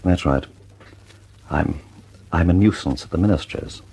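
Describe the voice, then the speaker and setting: An actor with an English accent playing a weary, mild, dutiful scientist in a radio drama, hesitant and politely understated. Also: male voice, deep voice, unhurried, vocal fry, dark tone. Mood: weary, resigned. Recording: noisy old radio recording with clicks.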